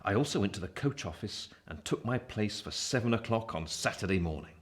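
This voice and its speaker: An actor playing a reflective man narrating his own youth in a literary radio play, measured and wistful. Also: lowish voice, lively intonation, husky.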